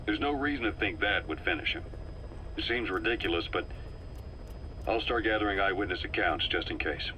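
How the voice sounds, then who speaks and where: An actor playing a wary, brooding veteran intelligence operative, giving briefings in a measured, grave manner for a game. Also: male voice, deep voice, animated, pushed and bright, a little hiss or hum, low-quality recording. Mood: uneasy, skeptical. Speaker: briefing officer. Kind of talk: dramatic game briefing dialogue.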